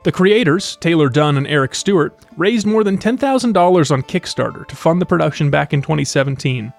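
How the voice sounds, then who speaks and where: A man's voice: on the high side, animated, brisk, vocal fry, faint music behind. A man hosting a podcast and interviewing in a conversational style.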